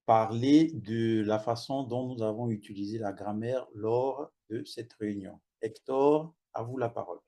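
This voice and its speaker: Man, meeting chair, polite, slow, mellow tone. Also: mid-range voice.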